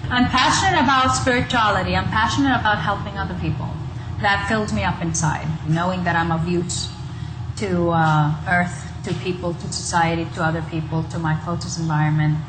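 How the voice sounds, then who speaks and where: Echoey woman, lowish voice. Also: fairly even intonation, brisk, background noise. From Iceland. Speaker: singer-songwriter interviewee. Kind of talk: casual interview talk. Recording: echoey room, music behind. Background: music.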